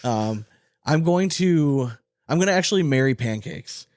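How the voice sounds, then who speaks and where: A man's voice: fairly high pitch, expressive, a little slow, a little mellow, no echo, studio quality. A man hosting a podcast with casual, joking banter, swearing freely and arguing his points. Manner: profane, argumentative. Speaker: podcast host.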